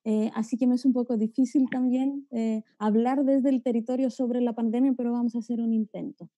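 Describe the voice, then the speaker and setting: A woman speaks as an academic panelist in a fairly high but very soft, dark-toned voice. The sound is dry and close. She thinks aloud informally, often adding little tags to check understanding. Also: steady tone, fairly quick, no echo, highs cut off. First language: Greek. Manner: reflective, frequent checking tags. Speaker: geographer, panelist. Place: remote online video forum.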